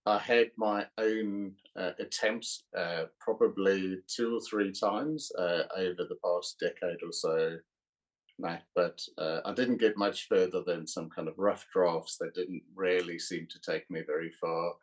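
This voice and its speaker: A middle-aged man from South Africa with a South African accent, a guest author talking in a podcast interview, pausing often with fillers. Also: low voice, fairly even intonation, poor audio. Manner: hesitant, many uh fillers.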